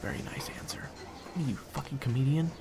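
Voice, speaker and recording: A man's voice: medium pitch, expressive, quick pace, in a whisper. A man playing a flustered, stammering young waiter in an audio roleplay, who secretly hunts monsters and swings between chummy chatter and sweary irritation. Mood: hushed, irritated. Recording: clearly noisy background.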